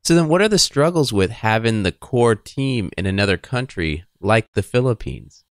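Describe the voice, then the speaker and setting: A man hosts an interview and puts long, framing questions to his guest. His voice sits fairly low, and the recording is dry and close. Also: expressive, moderate pace, no echo. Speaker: podcast host.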